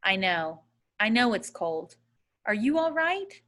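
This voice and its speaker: A worried mother, voiced by a woman reading a novel aloud, serious and caring. She speaks with grave concern, her pitch often a little raised above plain narration. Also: big pitch swings, takes it slowly, fairly bright tone.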